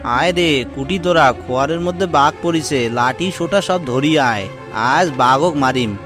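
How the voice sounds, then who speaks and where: A man's voice: fairly high pitch, moderate pace, close to an everyday voice. Man playing a rustic farmer over music. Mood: excited, fierce. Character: rustic village farmer, a worried, fierce man. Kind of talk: cartoon fable dialogue.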